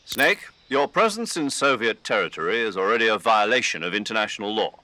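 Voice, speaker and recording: Male army major, game briefing role: lowish, very lively, emphatic and authoritative, close dry sound. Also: fairly quick, no echo. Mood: stern, urgent. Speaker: commanding officer. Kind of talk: video game voice acting, mission briefing.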